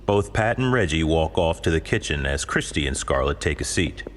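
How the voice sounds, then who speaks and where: A man's voice: extremely low pitch, animated, moderate pace, slight background noise. A man delivering scripted story narration.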